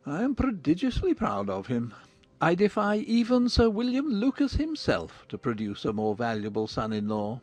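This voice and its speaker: A woman voicing a sardonic older gentleman in a dramatized audiobook, with a pompous, drawling manner. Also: sits low, huge pitch swings, moderate pace, soft background music, pitched below the performer's own voice, heavily acted. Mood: mock admiration. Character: dry, sardonic older gentleman, a mocking father. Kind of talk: dramatized audiobook character acting.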